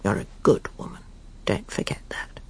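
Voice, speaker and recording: A woman's voice: normal speed, a little mellow, in a whisper, close to an everyday voice. An actress playing a proper, self-satisfied middle-aged wife and mother in a radio drama, prim and clipped, anxiously reassuring herself. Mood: troubled, brooding. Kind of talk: radio drama acting, dialogue and inner monologue.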